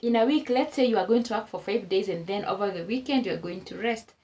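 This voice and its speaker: A woman's voice: medium pitch, fast, a little mellow. A Kenyan woman vlogging casual advice to camera, repeating her points for emphasis and exclaiming as she goes.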